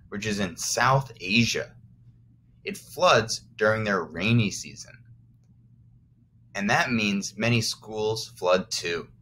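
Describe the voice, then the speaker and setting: A man's voice: fairly low pitch, a bit bright, slight background noise. A man teaching first graders, reading aloud expressively and encouragingly, with simple explanatory asides for young children.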